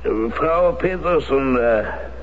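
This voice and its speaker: An actor playing an aging former SS colonel, courteous and cold, who speaks in a measured way with hesitant pauses. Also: male voice, medium pitch, lively intonation. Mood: coolly polite. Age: older. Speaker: actor in a radio drama. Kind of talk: 1970s radio drama acting. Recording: dull old radio recording, faint hiss.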